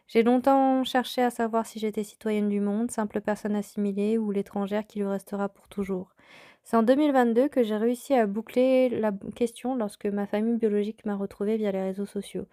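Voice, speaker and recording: A woman in her thirties, from France, hosting her own podcast and telling a personal story in a calm, reflective way. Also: medium pitch, no echo, studio quality. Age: 30s. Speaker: podcast host.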